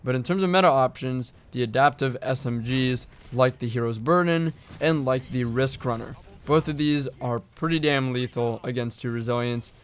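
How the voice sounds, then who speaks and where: A man with a hoarse, cracking voice, casually explaining game stats in a conversational way that thinks aloud and hedges often. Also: mid-range voice, a little hiss or hum. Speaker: gaming video creator.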